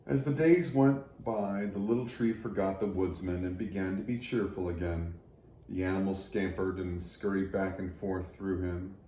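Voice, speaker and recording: Low, mellow male storybook reader. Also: steady tone, normal speed. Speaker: storybook reader for church children. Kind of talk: scripted children's story narration. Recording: echoey room, faint background noise.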